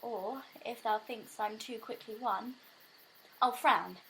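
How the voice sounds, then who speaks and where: A woman's voice: on the high side, takes it slowly, sharp tone, slight background noise, heavily acted, rough recording. A woman acting a young, lovestruck, earnest and anxious maiden in a Shakespearean audio drama, with theatrical verse delivery, recorded at home on a phone.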